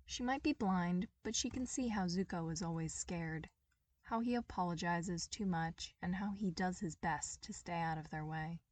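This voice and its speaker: A woman reading a story aloud as an amateur audiobook narrator, in an expressive style. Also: on the low side, normal speed, no room sound, distant mic.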